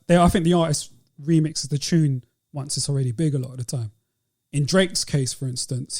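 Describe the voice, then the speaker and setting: A man's voice: fairly high pitch, mellow. A man with a British accent co-hosting a podcast, giving his opinions in casual conversation. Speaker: podcast co-host.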